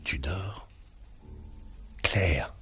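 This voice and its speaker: An actor in a French radio mystery drama, playing a defensive, weary suspect with a sardonic, short-tempered manner. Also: male voice, low voice, music in the background. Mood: subdued, weary. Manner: volatile, sardonic, halting when pressed.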